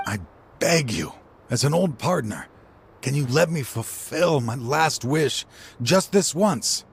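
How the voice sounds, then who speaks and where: An actor playing a weary, regretful older man in a video game, a grieving father who sighs and talks slowly. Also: mid-range voice, creaky, breathy edge, exaggerated voice. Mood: pleading, eager. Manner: weary, slow, sighing. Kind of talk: game cutscene voice acting.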